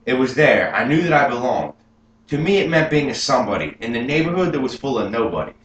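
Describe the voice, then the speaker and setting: A man's voice: mid-range voice, fast, voice pushed up. Young man playing a proud gangster reminiscing. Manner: earnest storytelling, rising with excitement. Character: adult male gangster proudly recalling his youth. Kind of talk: memorized film monologue. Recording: roomy room with faint hum.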